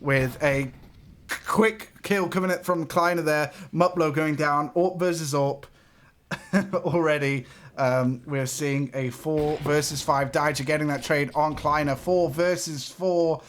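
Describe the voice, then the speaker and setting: A man casting a live esports match with excitable play-by-play, his voice getting a little raspy. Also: fairly high pitch, measured pace. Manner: excitable, rising sharply on big plays, laughs between calls. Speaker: esports caster.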